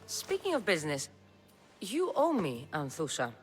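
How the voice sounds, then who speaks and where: A woman's voice: deep voice, huge pitch swings, normal speed, faint music behind. An actress playing a tough, defiant young female mercenary in a video game, blunt and coolly confident. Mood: businesslike. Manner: cool, blunt, dryly confident. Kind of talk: video game cutscene acting.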